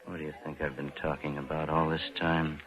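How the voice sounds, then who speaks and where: An American actor playing a cold, scheming bank cashier in a hard-boiled 1940s radio drama, brooding and insinuating. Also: male voice, rumbling low, level tone, a little fast, a bit bright. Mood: moody, persuasive. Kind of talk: 1940s radio noir acting, first-person narration and dialogue. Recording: dull vintage radio recording with music.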